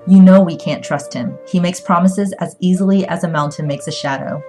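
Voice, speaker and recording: A woman's voice: on the low side, brisk, warm tone, faint music behind, pitched above the performer's own voice, close to an everyday voice. A woman voicing a self-serving, scheming young Chinese man in an audiobook, evasive and defensive. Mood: defensive, accusing.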